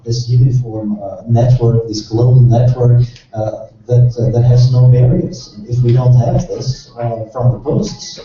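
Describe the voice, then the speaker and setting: Male session chair, earnest and halting, recorded far off in a reverberant hall with faint noise. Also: mid-range voice, little pitch movement, dark tone. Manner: earnest, hesitant, heavy on fillers. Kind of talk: introducing speakers at a conference. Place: large conference hall. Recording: echoey hall, distant muffled mic, faint noise.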